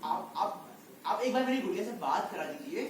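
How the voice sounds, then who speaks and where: Casting: male actor as distraught pleading father, very high-pitched, live stage play heard from far off. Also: animated, moderate pace. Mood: anxious, pleading. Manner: pleading, repeats himself insistently. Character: distraught clown father pleading for his sick daughter. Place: indoor stage, recorded from a distance. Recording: echoey stage hall, far mic, faint noise.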